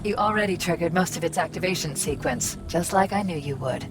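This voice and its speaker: A woman playing a calm, idealistic artificial intelligence in a video game, explaining things in a measured, reassuring way. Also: on the low side, lightly acted. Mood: calm, persuasive. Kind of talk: cinematic video game acting. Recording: music behind the voice.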